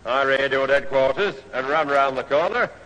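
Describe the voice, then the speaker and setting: A man's voice: medium pitch, moderate pace, pushed and bright, muffled recording, natural voice. Man as weary, officious constable. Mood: exasperated. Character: long-suffering, officious English police constable. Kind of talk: 1970s radio sitcom acting. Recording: reverberant, slightly noisy old radio recording.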